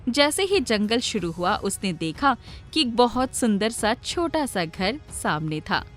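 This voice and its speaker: A woman's voice: fairly high pitch, sing-song, measured pace. A woman narrator reading a scripted story for children. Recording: music playing behind the voice.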